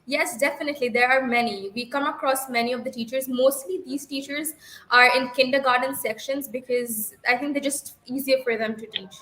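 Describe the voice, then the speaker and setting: A young woman from Bangladesh answers speaking exam practice questions in a bright, somewhat high voice. She talks fluently and catches herself now and then with quick self-corrections. The sound rings with the echo of the room. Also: fairly even intonation. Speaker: IELTS practice candidate. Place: livestream.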